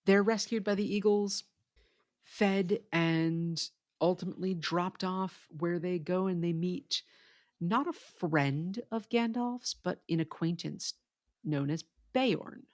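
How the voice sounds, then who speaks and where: A podcast co-host explaining things in a measured way during a casual literary discussion. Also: mid-range voice.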